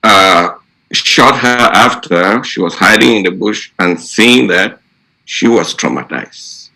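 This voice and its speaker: A man talks to fellow teachers in a deliberate, halting way. His voice is on the low side and gravelly, and he takes his words very slowly. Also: expressive, pushed and bright, rough recording. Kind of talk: unscripted storytelling to colleagues.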